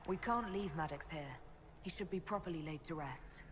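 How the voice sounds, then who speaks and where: A fairly low female voice from an actress plays a composed leader in a fantasy game. She is direct and compassionate, asking things plainly, with noticeable noise and ambience behind her. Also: normal speed, close to an everyday voice. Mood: composed, concerned. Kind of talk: dramatic video game acting. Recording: clear background noise.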